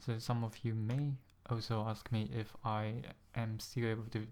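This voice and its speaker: Young singaporean guy vlogging, lowish flat voice, lots of ums, kinda nervous, careful with his words. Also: a little hiss or hum. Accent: Singaporean-influenced English, carefully pronounced. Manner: hesitant, many ums, a little nervous; careful, deliberate pronunciation. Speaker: ASMR channel host. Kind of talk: casual vlog talk to camera. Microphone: USB desk microphone.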